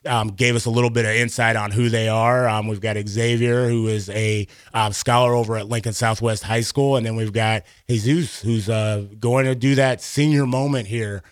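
Male radio host, mid-pitched voice, dry close studio sound, conversational interview delivery. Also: steady tone, normal speed, few pauses, clean tone, no room sound. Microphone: close studio mic.

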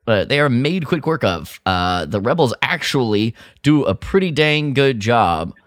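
A man's voice: medium pitch, very animated, a little slow, fairly bright tone, no echo, studio quality. A man co-hosting a podcast, chatting casually and jokingly as he recaps and critiques a story with some exasperation. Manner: exasperated, jokey, mimics characters' lines. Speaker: podcast co-host. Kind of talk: casual chat, recapping and critiquing a radio drama.

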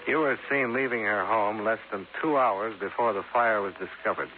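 A man's voice: fairly low pitch, normal speed, lightly acted. An American man playing a dogged, hard-boiled insurance investigator in a 1950s radio drama, with a clipped, sardonic delivery. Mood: pressing, skeptical. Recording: noisy, tinny 1950s radio recording.

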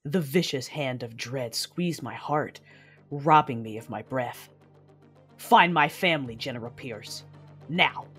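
A woman acts out a grieving female lord of a space saga. Her voice is low and commanding, telling her own story in dramatic audio drama narration over clear background music. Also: a little slow, heavily acted. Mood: shocked, commanding.

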